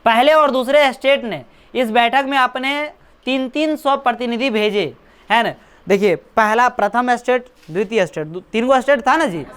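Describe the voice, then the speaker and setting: A woman's voice: fairly high pitch, a bit bright, smooth. A woman coaching-class teacher giving a classroom history lecture, often checking that students follow and repeating dates. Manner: keeps checking understanding, repeats dates, teasing asides.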